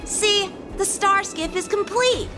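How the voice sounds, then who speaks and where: A woman playing an earnest, eager teenage boy swordsman in a video game, speaking in a candid, boyish, expressive way. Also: very high-pitched, takes it slowly. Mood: excited. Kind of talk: English game voice acting. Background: background music.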